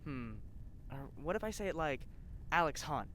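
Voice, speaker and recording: Actor as villainous scientist henchperson, blustering, awkward, flustered, highly animated pitch, faint background rumble, audio drama. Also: normal speed, low-quality recording. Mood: unsure, theatrical.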